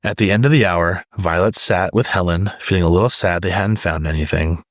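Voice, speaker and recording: A man's voice: sits low, fairly quick, no room sound, clean studio sound. A man narrating a children's storybook as a read-aloud. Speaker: storybook reader.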